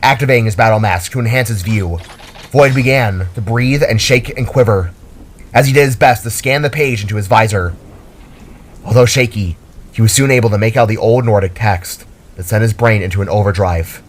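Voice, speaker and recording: A man's voice: on the low side, brisk, slight background noise. A man reading a scripted fantasy story aloud as a dramatic narrator.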